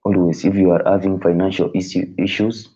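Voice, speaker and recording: A man whose first language is not English, hosting a live stream in casual, halting talk that rephrases as he thinks aloud. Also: sits low, flat delivery, warm tone, breathy edge, muffled recording.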